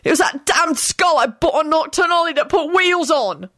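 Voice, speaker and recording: Hot-tempered, defiant storybook man, female reader acting, high and loud, swooping with rage. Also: huge pitch swings, takes it slowly, no echo, clean studio sound, heavily acted. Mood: furious. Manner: shouting, sputtering, defiant. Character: cursed, raging, foul-mouthed defiant man.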